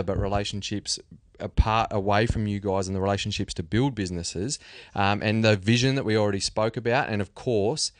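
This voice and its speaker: A man's voice: on the low side, dry recording, clean studio sound. A man hosting a conversational podcast interview, talking in an enthusiastic, chummy way. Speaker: podcast host.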